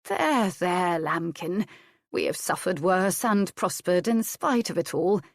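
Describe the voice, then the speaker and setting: A woman's voice: lowish voice, animated, takes it slowly, no room sound, clean studio sound, heavily acted. A woman reading an audiobook, voicing an old, motherly nursemaid who comforts with practical endearments. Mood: soothing. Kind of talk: audiobook character voice.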